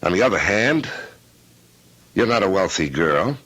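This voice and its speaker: A man with an American accent playing a blunt, cautious private detective in a radio drama, speaking deliberately. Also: low voice, big pitch swings, fairly bright tone, slightly rough, heavily acted. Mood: measured, frank. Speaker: actor.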